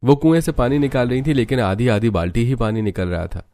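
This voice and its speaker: A man's voice: mid-range voice, brisk, mellow. A man narrating a scripted cartoon village story as its storyteller.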